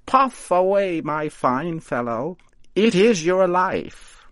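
A man voicing an old witch woman, gleeful and commanding, with a high, loud, drawn-out delivery. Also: sing-song, no room sound, exaggerated voice.

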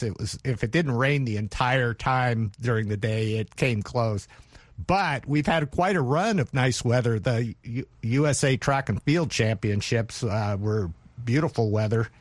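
A man from Oregon answers interview questions in a relaxed, chatty way. He sounds easygoing and unhurried. The sound is dry and close. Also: mid-range voice, dry recording. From Oregon, USA. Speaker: newspaper photo director interviewee. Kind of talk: casual interview.

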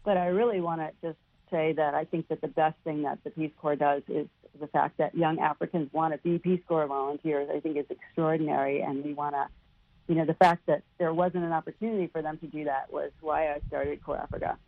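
A woman's voice: low-pitched, steady tone, a little fast. A woman giving earnest, emphatic answers as an interview guest over a band-limited phone line. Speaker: non-profit founder, interview guest. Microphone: telephone.

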